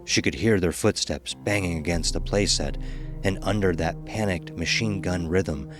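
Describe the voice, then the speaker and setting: A man narrating a scripted horror story as a dramatic, measured storyteller. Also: deep voice, music in the background.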